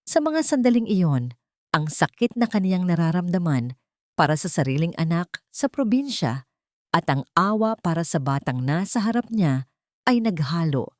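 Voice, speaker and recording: Low-voiced Filipino woman narrating a drama. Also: sing-song, moderate pace, a little mellow, dry recording, studio quality. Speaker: audio story narrator.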